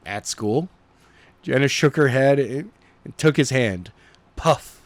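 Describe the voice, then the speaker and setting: A man reading a story aloud as an amateur narrator, halting and stumbling over the text now and then. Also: mid-range voice, no echo.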